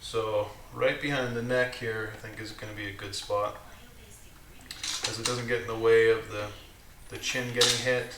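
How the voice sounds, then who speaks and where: A man with a low voice hosting a live build stream, thinking aloud as he works at his bench, with halting restarts. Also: level tone, noisy background. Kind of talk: casual live workshop commentary. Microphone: condenser mic set away from him. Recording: distant, roomy mic with music playing. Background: music from a streaming app.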